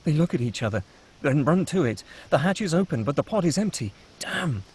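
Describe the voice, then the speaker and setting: Guy narrating a screenplay table read, husky voice, a bit high. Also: very animated, brisk, darkish tone. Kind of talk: scripted narration of screenplay action and scene headings.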